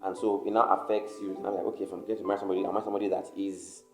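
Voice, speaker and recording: A man co-hosting a casual podcast chat, agreeable and hesitant, often repeating himself and filling pauses. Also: low voice, flat delivery, a little mellow, faint music behind. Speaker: podcast co-host. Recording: roomy echo.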